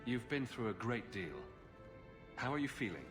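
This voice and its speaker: A man's voice: mid-range voice, very animated, moderate pace, music in the background, natural voice. An actor playing a sardonic, self-assured male adventurer hero in video game dialogue, with a wry way of talking. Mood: calm.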